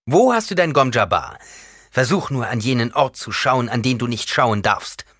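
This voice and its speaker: A man's voice: lowish voice, big pitch swings, brisk, a bit bright, dry recording, studio quality. A male narrator voicing a defiant, commanding young man in an audiobook, declaiming with scorn and force. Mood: scornful, defiant.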